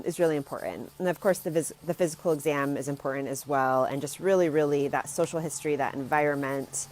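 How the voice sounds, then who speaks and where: A woman speaks in a low-pitched voice. She presents like a health coach on an informal live video, explaining things in a plain-spoken, instructive way. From Germany and the United States. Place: outdoors, sitting outside.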